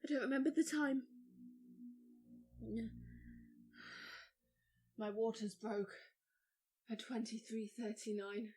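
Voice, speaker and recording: Raw high-voiced grieving young mother. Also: dark tone. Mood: strained, urgent. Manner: halting, breathy, emotionally charged delivery in short phrases. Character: traumatised grieving new mother recounting labour, raw and tender. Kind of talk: dramatic audio drama monologue.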